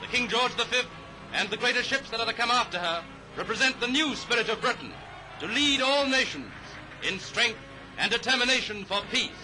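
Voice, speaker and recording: A man's voice: very high-pitched, animated, a little fast, rough recording. A man giving formal, clipped 1930s newsreel narration in a declamatory, upbeat manner, on an old newsreel soundtrack. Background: orchestral music.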